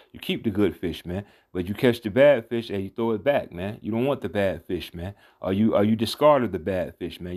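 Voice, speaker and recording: A man teaching scripture in a casual, rambling way, full of fillers and restarts, saying "man" after many phrases and reading verses slowly. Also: fairly low pitch, darkish tone. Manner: reads verses slowly and deliberately. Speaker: street preacher. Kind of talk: informal scripture teaching over a screen recording. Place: not seen, voice-over on a phone screen recording.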